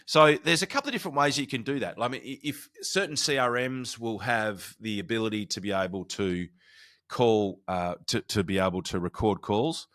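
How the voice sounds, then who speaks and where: A man with a low-pitched voice talks solo as a podcast host and coach, giving practical advice. His delivery is conversational and informal, often halting to restart a phrase. The sound is close and dry, with very little room to it. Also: a bit bright, no echo. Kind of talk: conversational solo podcast talk, explaining and advising.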